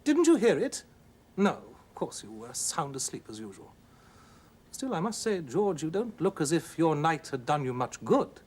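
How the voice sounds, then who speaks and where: Man voicing a fussy, animated nagging wife. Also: on the high side, moderate pace, slightly rough. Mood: reproachful. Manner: insistent, fussy, reproachful. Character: brisk, nagging middle-class wife.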